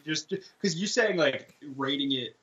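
A man's voice: fairly high pitch, expressive, a little slow, a bit bright. Laid-back young man, slightly muffled. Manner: laid-back, swears casually, trails off. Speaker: podcast co-host. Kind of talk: casual music chat.

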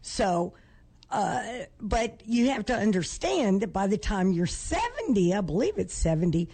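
A woman co-hosting a radio talk show with a rough, husky voice, chatty and quick to chime in. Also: medium pitch. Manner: chatty, lively interjections. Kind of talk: casual talk radio banter.

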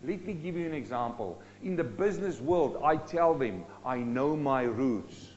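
A man from South Africa preaching a sermon, swinging between loud rising questions and low, slow emphatic drops. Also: expressive, lo-fi sound, a little hiss or hum. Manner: dynamic, rising and dropping sharply for emphasis.

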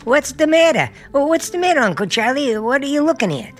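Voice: high-pitched, big pitch swings, brisk, music in the background. A performer playing an inquisitive young boy in a radio drama. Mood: curious. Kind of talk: mid-century American radio drama acting.

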